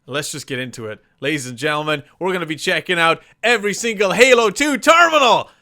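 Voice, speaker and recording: A man's voice: high-pitched, expressive, quick pace, sharp tone. A man hosting a live reaction stream, addressing viewers in a hyped, showman-like way. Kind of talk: excited live reaction intro and interjections.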